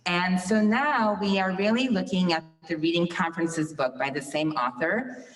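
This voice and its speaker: A woman from a school staff presenting to a school board in an upbeat way, with a loud teacher voice. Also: fairly low pitch, normal speed. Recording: echoey hall from far off.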